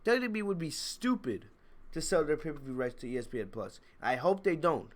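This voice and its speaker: A man's voice: a little high, animated, fairly quick. A man with a congested, under-the-weather voice giving blunt, emphatic, opinionated commentary as a casual livestream host. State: congested, sick with a cold. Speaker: wrestling review livestream host. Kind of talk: casual live commentary, chatting to viewers.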